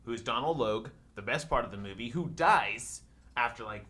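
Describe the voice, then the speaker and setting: A man's voice: mid-range voice, lively intonation, brisk, bright, forceful tone. A man in a casual movie discussion, retelling plots in a rambling, informal way full of filler "likes". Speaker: podcast panelist.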